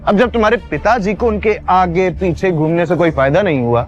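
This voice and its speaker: A male actor plays a scornful, arrogant male office bully in an audio drama. His higher-pitched voice is mocking and condescending, dripping with taunts, over a light music bed. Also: moderate pace, highs cut off, natural voice.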